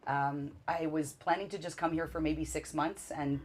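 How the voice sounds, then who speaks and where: Husky low-voiced woman in her forties. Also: steady tone, moderate pace. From Canada. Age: 40s. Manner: warm, enthusiastic storytelling with many fillers. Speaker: guest. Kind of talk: casual interview answers.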